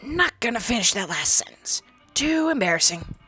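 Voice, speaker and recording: A woman's voice: on the high side, big pitch swings, normal speed, sharp tone, slightly rough, faint music behind, full character voice. A woman voicing a sardonic, crude young female ghoul, a former psychology student, in a game dialogue. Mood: wry. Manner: blunt, crude and defensive. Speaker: companion character. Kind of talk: fan-voiced game dialogue.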